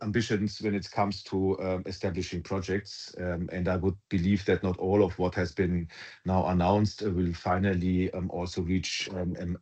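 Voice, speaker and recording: A man answering analyst questions on an earnings call, halting and full of ums and restarts. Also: low-pitched, darkish tone, dull audio. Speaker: company executive answering analysts.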